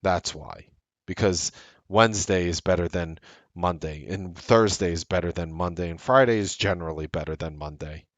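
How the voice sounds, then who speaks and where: A man's voice: low voice, moderate pace, no echo. A man of about forty talks straight to the viewer. He presses his points hard and repeats them in an earnest, salesy way. The sound is close and dry, with no room behind it. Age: late 30s. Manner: emphatic, repetitive, salesy and earnest. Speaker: trading show host. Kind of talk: direct-to-camera market commentary.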